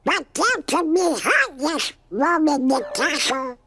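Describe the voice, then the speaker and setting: A man voicing an excitable cartoon duck in a video game, with a garbled, quacking, slurred rasp and a fretful, spluttering manner. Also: extremely high pitch, slow pace, over music, full character voice. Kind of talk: animated video game voice acting.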